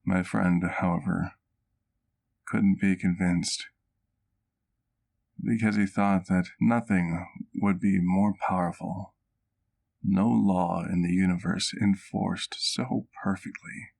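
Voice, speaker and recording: A centuries-old gentleman vampire played by a man in an ASMR roleplay. His voice is very low with a gravelly creak. He speaks gently and formally, like a doting, wistful doctor recounting old memories in measured, literary phrases. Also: fairly even intonation, full character voice, low-quality recording. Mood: reflective.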